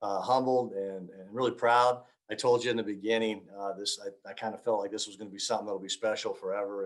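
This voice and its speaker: A middle-aged man giving earnest, informal remarks as a police officer, often halting as he searches for words. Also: fairly low pitch, fairly bright tone, rough recording. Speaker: police officer speaking at a community forum.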